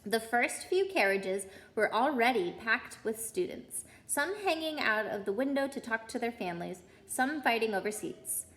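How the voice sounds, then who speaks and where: A woman storyteller reading a children's book aloud in an expressive style. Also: a little high, normal speed, few pauses.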